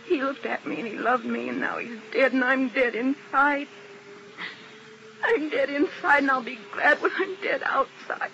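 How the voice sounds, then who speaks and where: A woman's voice: sits high, fairly even intonation, vocal fry, sharp tone, slight background noise, heavily acted. An actress playing a plain young woman grieving her dead lover, crying and despairing in a 1950s radio drama. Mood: sobbing. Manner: despairing, slow and broken. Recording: muffled old radio broadcast.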